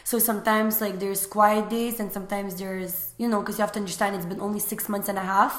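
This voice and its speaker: A woman's voice: mid-range voice, a little fast. Young woman chatting. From Lithuania. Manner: relaxed, chatty, many fillers. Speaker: guest, small business owner. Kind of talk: casual interview chat. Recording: echoey room.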